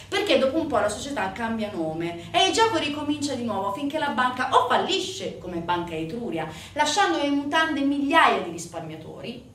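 A woman's voice: on the high side, a bit bright. A woman delivering a theatrical narrative monologue to an audience, emphatic and addressing listeners directly with rhetorical questions. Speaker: stage actress performing a monologue. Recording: roomy, distant mic, faint background noise.

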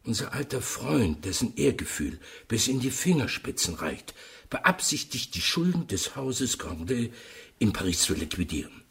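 An actor playing a formal, diplomatic older uncle in a German radio play, with a rough, husky voice. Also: male voice, medium pitch, big pitch swings, moderate pace, a bit bright, exaggerated voice.

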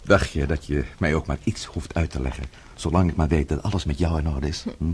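An actor playing an earnest, devoted but bewildered husband in a radio drama, hesitant and stammering. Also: male voice, extremely low pitch, a little fast, a little mellow, a little hiss or hum. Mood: tender, contrite.

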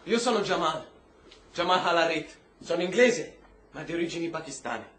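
Wry, high-voiced male prisoner. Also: animated, moderate pace, fairly bright tone. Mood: wary, curious. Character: weary, streetwise British-Pakistani male prisoner, wryly curious. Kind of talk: stage-style radio drama acting. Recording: roomy echo with faint noise.

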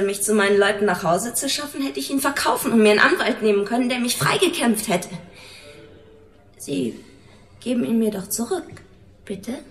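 An actress playing a frightened, evasive young runaway in a German radio drama, halting and pleading. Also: female voice, mid-range voice, close to an everyday voice. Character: frightened, evasive young runaway woman under police questioning. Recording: roomy, echoing studio interrogation scene.

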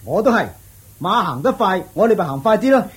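A boastful young-spirited guard in a Hong Kong Cantonese radio play. The voice is pitched very high for a man and jumps around with great animation. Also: very animated, brisk. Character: keen, boastful palace guard soldier. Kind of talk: radio drama acting.

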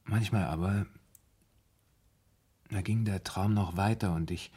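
A low-voiced, husky man, tormented and anxious. He tells his story in halting, broken phrases, as a haunted confession in a seventies German radio play. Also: mellow. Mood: agitated, fearful. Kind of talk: 1970s German radio drama acting.

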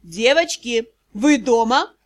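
A woman's voice: on the high side, lively intonation, slow pace, pitched below the performer's own voice. A woman voicing a stern vampire father, head of a noble house, in an audiobook. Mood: calm.

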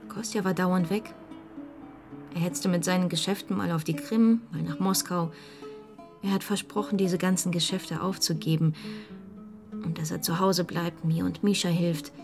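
A woman's voice: on the low side, steady tone, normal speed, music in the background, natural voice. An actress in a radio drama playing a hospitable, wistful widowed mother who speaks intimately and candidly. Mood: wistful, confiding.

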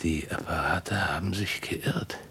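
An actor playing an irritable, duty-obsessed general in a radio drama, with a curt and authoritative manner. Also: male voice, low voice, steady tone, a little slow, pushed and bright, husky, full character voice. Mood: broken, subdued. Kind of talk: German radio drama acting.

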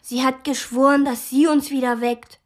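A woman's voice: high voice, flat delivery, moderate pace, natural voice. A woman playing a defiant, morbid little girl in a German radio play, speaking in a solemn, contrary way. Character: defiant, morbid little sister in a wartime nursery.

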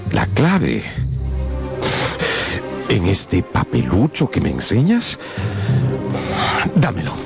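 Slow, gravelly, brooding professor. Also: male voice, low voice, wildly swooping pitch, over loud music, exaggerated voice. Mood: astonished, intent. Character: obsessive older scientist uncle, gruff, brooding professor. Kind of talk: radio drama acting.

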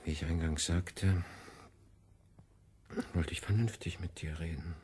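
An actor playing a worldly, condescending cardinal in a radio play, impatient and sardonic. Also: male voice, sits low, steady tone, unhurried, slight background noise. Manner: exasperated, sardonic, swinging from hushed to blustering.

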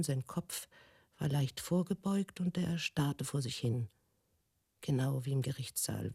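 A haunted middle-aged woman recalls her past in a radio drama. Her voice is mellow and husky, recorded close and dry. She speaks haltingly and broods, often sinking toward a whisper. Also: sits low, no echo, exaggerated voice. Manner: halting, brooding, drifting into breathy near-whispers. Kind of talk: intimate radio drama monologue.

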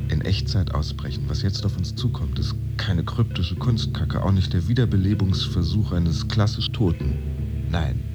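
A man acting a sardonic employee in a modern radio play. His voice is fairly low and he tells it theatrically, over clearly audible music. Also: normal speed, lightly acted. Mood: sardonic, ominous. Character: sardonic employee narrating a corporate theatre of layoffs. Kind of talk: modern German radio drama monologue.

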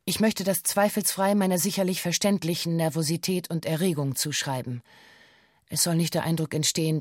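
An actress playing a female witness in a radio play, reciting a police statement in a stiff, measured, matter-of-fact way. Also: deep voice, darkish tone, no echo, clean studio sound. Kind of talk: radio play, formal recited testimony in bureaucratic German.

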